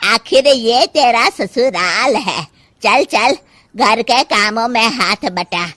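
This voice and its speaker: Woman playing a slow, nagging old village mother-in-law. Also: on the high side, expressive, a bit bright, dry recording. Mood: scornful nagging. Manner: scolding, taunting, drawn-out delivery. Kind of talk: Hindi cartoon moral-story acting.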